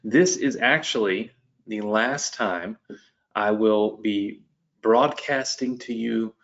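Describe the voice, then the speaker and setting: A low-voiced man in his late thirties hosts a live online business show, chatting loosely and circling back with lots of fillers and restarts. The stream audio is dull and lo-fi, and he sounds like he's at a home webcam setup. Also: slow pace, creaky, fairly bright tone. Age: 30s. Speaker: host of a live business webinar. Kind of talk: casual live-stream talk. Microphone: webcam setup at home desk.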